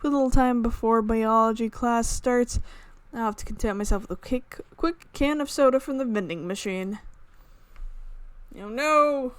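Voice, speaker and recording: A woman's voice: a little high, normal speed, smooth, dry recording. A woman reading a visual novel aloud as a let's player, narrating dramatically and slipping in casual asides. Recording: music playing behind the voice.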